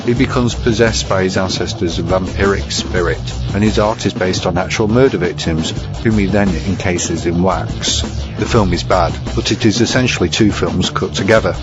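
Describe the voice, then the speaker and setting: A man's voice: low-pitched, normal speed. A man presenting a scripted review segment as a narrator. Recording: dull lo-fi recording under music. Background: guitar music.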